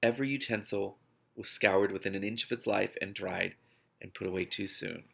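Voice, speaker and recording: A man's voice: deep voice, steady tone, moderate pace, vocal fry, a bit bright. A man reading a novel aloud expressively, breaking off into spontaneous amused and exasperated asides as host. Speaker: host of an online read-aloud series.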